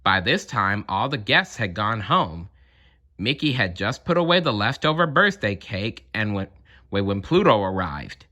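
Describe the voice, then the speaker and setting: A man reading a children's story aloud in an expressive narrating style. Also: lowish voice, a little slow. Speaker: storybook reader.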